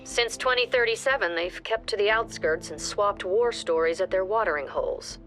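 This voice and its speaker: Lady doing calm commander giving game briefings, steady, music playing under. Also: mid-range voice, normal speed, close to an everyday voice. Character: composed female squad commander giving orders. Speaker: mission briefing officer. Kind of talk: video game voice acting.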